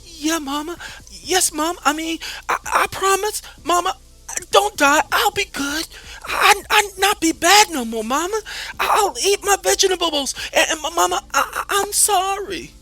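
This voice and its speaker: A man's voice: animated, slow, vocal fry, fairly bright tone, soft background music, exaggerated voice. A man voicing a frightened young boy who begs and stammers through tears. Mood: pleading, sobbing. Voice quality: high, sobbing, stammering.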